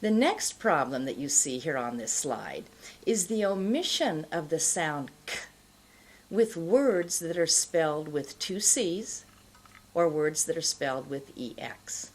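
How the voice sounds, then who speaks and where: A middle-aged woman giving an instructional pronunciation lesson, explaining in a deliberate, carefully enunciated way. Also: sits low, animated, slightly rough. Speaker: pronunciation teacher. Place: indoor studio, plain backdrop.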